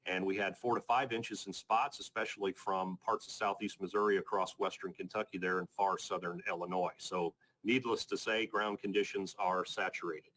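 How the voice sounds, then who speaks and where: A man presenting an informal technical weather briefing as a forecaster, speaking in a measured, matter-of-fact, plain-spoken way. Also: deep voice, steady tone, fairly bright tone, low-quality recording. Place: conference call presentation.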